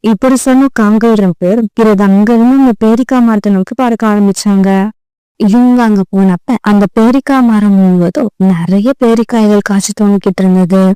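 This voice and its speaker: A woman's voice: mid-range voice, steady tone, brisk, mellow, smooth, dry recording, poor audio. A woman narrating a scripted children's story.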